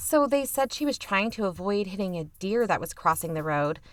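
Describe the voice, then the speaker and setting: A woman's voice: medium pitch, lively intonation, fairly quick, no room sound, natural voice. A woman playing a reluctant, sardonic younger sister who hosts an investigative podcast in an audio drama, talking casually. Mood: subdued, troubled. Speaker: podcast host character. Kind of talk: conversational audio fiction acting.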